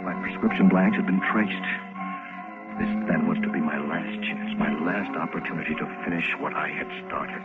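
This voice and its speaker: An actor playing a desperate, obsessive poisoner in a melodramatic, intense old radio drama style. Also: male voice, sits low, moderate pace. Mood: grimly determined. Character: desperate, obsessive man secretly poisoning a woman, confessing. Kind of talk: 1940s radio drama acting, first-person narration. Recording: tinny, band-limited vintage radio, music behind.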